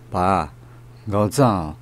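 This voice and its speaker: A man's voice: low-pitched, heavily acted. An actor with a Taiwanese accent playing a drunken, idle and flippant grown son in a radio drama, his speech slurred and sluggish.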